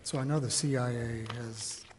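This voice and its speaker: A man's voice: mid-range voice, fairly even intonation, normal speed. Man questioning in an echoey room. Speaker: council member. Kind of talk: questioning at a public meeting. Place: council chamber. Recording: echoey meeting room, faint noise.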